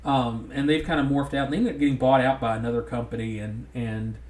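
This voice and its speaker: A man's voice: medium pitch, fairly even intonation, fast, a little mellow. A man with a thick Southern drawl, rambling in casual podcast chat with frequent restarts. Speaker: podcast co-host. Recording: roomy echo.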